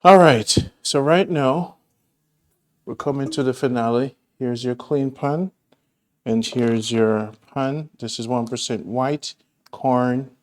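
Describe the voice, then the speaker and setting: A man with a Jamaican accent, from Jamaica, hosting a casual cooking demonstration in his home kitchen, chatting and rambling with homely asides as he works. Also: mid-range voice. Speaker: cooking show host.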